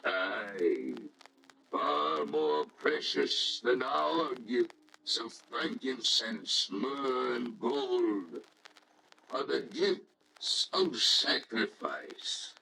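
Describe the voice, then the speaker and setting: Man acting stately royal dignitary, gravelly, extremely slow, declamatory, archaic radio drama, slight noise. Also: medium pitch, sing-song, sharp tone, heavily acted, rough recording. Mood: solemn. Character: proud, commanding herald and majestic grave king. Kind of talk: old-fashioned radio nativity drama acting.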